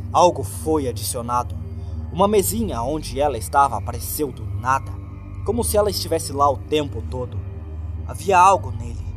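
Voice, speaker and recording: A man with a Brazilian accent reading a scripted horror story as narrator, in a grave and dramatic manner. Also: on the high side. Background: background music.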